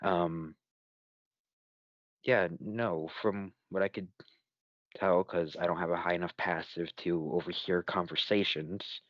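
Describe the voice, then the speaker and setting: A man with a low voice talks casually as a player in a tabletop game, feeling his way through loose, rambling explanations. The sound is dry and a bit dull, with very little room in it. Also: steady tone, slow, long pauses, dry recording. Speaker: player in a tabletop role-playing campaign. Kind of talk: casual chat.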